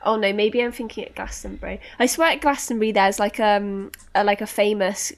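A young woman from Bath hosting a casual podcast chat, reacting in an animated way and often restarting her sentences. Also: mid-range voice, a little fast. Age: young adult.

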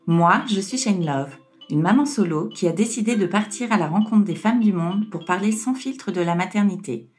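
A woman's voice: mid-range voice, moderate pace, few pauses, soft background music. A woman hosting a podcast, reading a scripted introduction and then asking interview questions. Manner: steady read narration. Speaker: podcast host.

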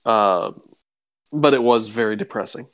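A man's voice: on the low side, measured pace. A man on a podcast as co-host, giving his opinions in casual conversation.

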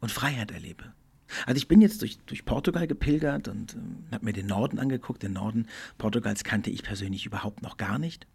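A middle-aged man hosting a podcast, speaking in a thoughtful, earnest monologue that often slows and drops almost to a whisper. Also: on the low side, lively intonation, gravelly, a little mellow, slightly rough. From Germany. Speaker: podcast host. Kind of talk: reflective solo podcast monologue.

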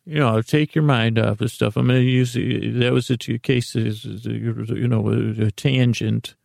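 A middle-aged man hosting a podcast with a silly, halting monologue that meanders and repeats itself as it drifts into tangents. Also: mid-range voice, fairly even intonation, creaky, a little mellow, dry recording, clean studio sound. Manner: silly, whimsical. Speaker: podcast host. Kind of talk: rambling comedic monologue.

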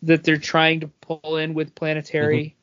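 A man co-hosting a comic book podcast, chatting casually and haltingly as he thinks aloud. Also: a little high, monotone, fairly bright tone. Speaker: podcast co-host reviewing comic books. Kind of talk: casual chat. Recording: dull, band-limited audio.

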